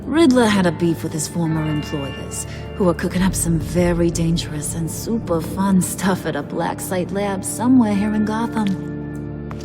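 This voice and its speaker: A woman's voice: on the low side, moderate pace, close to an everyday voice. Actress doing bossy slangy lady crime boss for a game, teasing and menacing, music behind. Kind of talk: video game acting.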